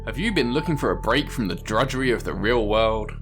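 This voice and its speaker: Music plays behind a man delivering a scripted podcast promo. His pitch is medium but swings around in a very lively announcer's delivery. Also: fairly quick.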